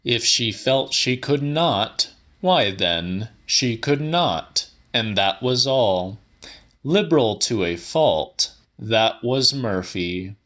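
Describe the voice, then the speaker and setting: A man narrating a literary audiobook in a dramatic, theatrical, deliberate manner. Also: medium pitch, animated, fairly bright tone.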